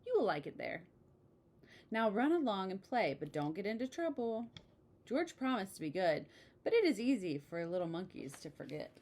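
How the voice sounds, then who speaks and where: A woman reading a children's picture book aloud in an expressive way, with casual asides. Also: medium pitch, moderate pace. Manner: expressive, exclaiming story delivery. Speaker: storybook reader.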